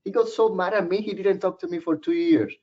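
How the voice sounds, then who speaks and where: A man's voice: sits high, a little fast, mellow. A man from Puerto Rico with a Puerto Rican Spanish accent, giving a webinar presentation in a joking, personal storytelling way. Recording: muffled lo-fi webinar audio.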